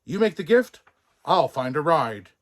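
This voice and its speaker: A man voicing a big, gruff, determined bear postmaster in a children's storybook, roaring out bossy, emphatic commands. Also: a little high, big pitch swings, measured pace.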